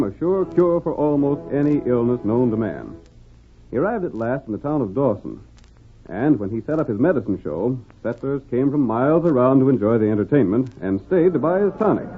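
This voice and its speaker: A man's voice: mid-range voice, phone-quality sound. An American man narrating a western radio drama in a grand, emphatic, theatrical 1940s announcing style. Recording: scratchy band-limited old radio broadcast with music.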